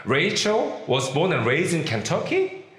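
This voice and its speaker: A man's voice: a little high, huge pitch swings, a little slow, a bit bright, roomy sound. A man from Korea with a Korean accent, whose first language is Korean, preaching a sermon as a pastor and acting out the voices of the people he quotes. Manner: acts out quoted voices, leans on key words.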